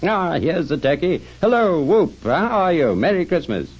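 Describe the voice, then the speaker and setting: A man's voice: deep voice, big pitch swings, a little fast, darkish tone, highs cut off. A man voicing a fervent, theatrical old miser in a dramatized storybook reading. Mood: excited, delighted.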